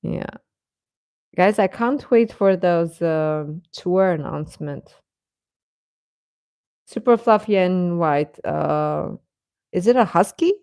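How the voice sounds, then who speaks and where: Female livestream host: casual, playful, unhurried delivery, mid pitch, dry sound. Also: a little mellow, smooth, no room sound. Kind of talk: casual chat with live viewers.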